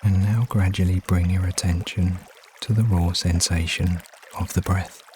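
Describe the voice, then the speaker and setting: A man leading a guided meditation as narrator, giving soothing, unhurried instructions with frequent pauses. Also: low-pitched, a little hiss or hum. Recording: steady water ambience behind voice. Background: trickling stream water sounds.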